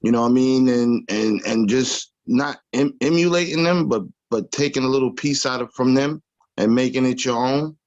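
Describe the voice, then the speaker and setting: A man from Long Island with a raspy voice and a New York accent, talking loosely as a rapper guest in an interview, with lots of fillers and restarts. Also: medium pitch, normal speed, a little mellow, dull audio. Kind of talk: casual interview talk.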